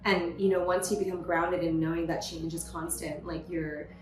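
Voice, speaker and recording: A woman from California, a podcast guest, telling her story in a lively, casual way with many "you know" fillers. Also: fairly low pitch, normal speed, reverberant, low-quality recording. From California, USA. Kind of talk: casual interview conversation.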